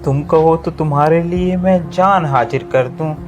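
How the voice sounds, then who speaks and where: Devoted sparrow husband for cartoon tale, played by a man: gentle, earnest, reassuring, music behind. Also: fairly high pitch, measured pace, lightly acted. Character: kind, earnest male sparrow, devoted suitor.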